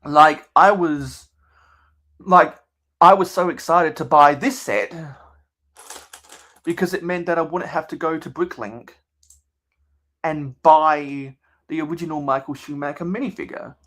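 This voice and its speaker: A young man with a mild Australian accent, chatting casually as a channel host in a measured, halting way that circles back on his phrases. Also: a little high, a bit bright. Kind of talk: casual livestream chat.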